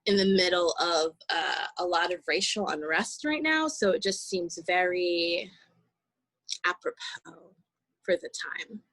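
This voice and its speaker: A woman speaks slowly and thoughtfully, pausing to find her words. Her voice is fairly low but bright and forward, recorded very dry, in a reflective interview style. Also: no room sound, rough recording. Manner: thoughtful, halting, searching for words. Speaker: stage actor in an audio play.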